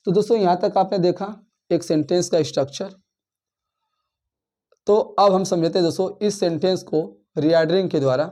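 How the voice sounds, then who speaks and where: A man teaches a grammar lesson. His voice sits high, but its tone is soft and mellow. He keeps calling his listeners friends, asking questions and answering them, and repeating his points as he goes. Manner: counts words aloud, repeats and rephrases points. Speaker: school English teacher.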